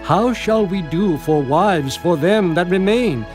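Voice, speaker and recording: An anxious Israelite man in a biblical audio drama. His voice is high and he declaims in slow, archaic phrases. Music swells prominently behind him. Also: lively intonation. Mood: troubled. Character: anxious Israelite men, deliberating urgently. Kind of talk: dramatized Bible audio acting.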